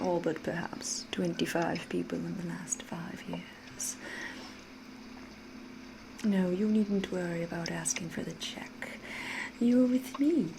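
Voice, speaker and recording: A woman voicing a flirtatious, menacing vampire in an ASMR roleplay, refined and theatrical, with drawn-out phrases slipping into breathy whispers. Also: on the low side, background noise. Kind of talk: ASMR roleplay, scripted character monologue.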